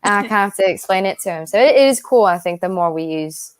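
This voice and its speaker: A woman who writes, joining the chat in a quick, casual, chatty way. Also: mid-range voice, expressive, clear, resonant tone, no echo. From United States.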